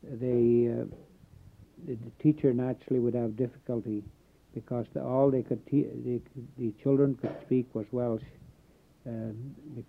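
A man born in Canada recalling his Welsh community in a halting oral history interview, pausing often. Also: mid-range voice, flat delivery. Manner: halting, many filler pauses. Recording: tinny phone-like old tape.

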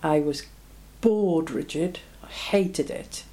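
A woman's voice: low voice, takes it slowly, warm tone. A woman in her seventies, born in Belgium, recalling her life in an oral history interview, reflective and plain-spoken. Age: 70s.

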